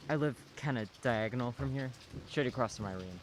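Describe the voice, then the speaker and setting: An awkwardly friendly teenage boy from across the street, played by a young actor, with a mid-range voice and a casual, halting way of talking. Radio-play delivery with faint noise underneath. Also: mid-range voice, natural voice.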